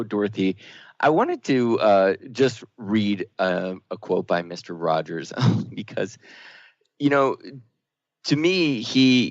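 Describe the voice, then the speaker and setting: A man's voice: mid-range voice, no echo. A man hosting a spiritual talk radio show, speaking in an earnest, musing way and affirming his co-host with "right" and "indeed.". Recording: dull lo-fi studio sound, dry.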